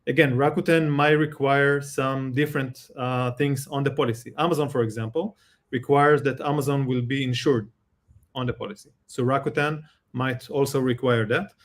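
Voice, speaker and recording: A man's voice: mid-range voice, steady tone, normal speed. A man with an Israeli accent, a guest expert explaining things step by step in a live Q&A, with many fillers and restarts.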